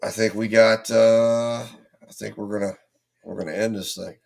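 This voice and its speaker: A man hosts a casual stream while getting over the flu, his low voice rough and gravelly. He rambles and restarts, his hoarseness plain. He sounds worn down. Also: fairly even intonation, moderate pace, a little mellow. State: recovering from flu, losing his voice. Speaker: host. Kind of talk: casual livestream chat.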